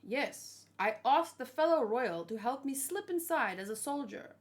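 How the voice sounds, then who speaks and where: A woman's voice: high voice, lively intonation, a little slow. A woman reading manga dialogue as an earnest male royal warrior, formal and serious. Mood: grave. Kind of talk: manga dialogue read aloud.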